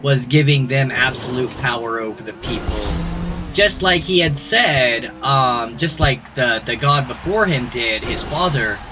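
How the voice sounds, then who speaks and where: Male streamer, mid pitch, droning rambling rants, music playing behind. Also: unhurried. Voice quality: droning when ranting. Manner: rambling ranting with restarts. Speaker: gaming streamer. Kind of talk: casual livestream chat. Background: clear background music.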